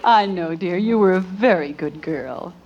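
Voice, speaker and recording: A woman playing a gentle, tactful middle-aged mother in a vintage radio sitcom, speaking in a placating way. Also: fairly low pitch, unhurried. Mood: reassuring. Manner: hesitant, placating. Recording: muffled old radio recording.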